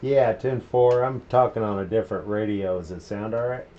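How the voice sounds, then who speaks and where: Low-voiced male CB operator, informal talk, muffled audio with loud noise. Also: moderate pace. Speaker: CB radio operator and livestreamer. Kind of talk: casual CB radio chat. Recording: dull CB stream with loud static noise.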